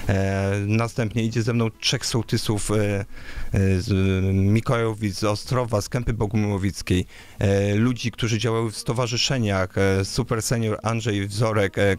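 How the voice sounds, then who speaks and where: Brief: man in his 30s, lowish voice, halting studio interview answers full of fillers. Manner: hesitant, many filler sounds and restarts. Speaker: candidate for local office. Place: small radio studio. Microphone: studio microphone on a boom arm.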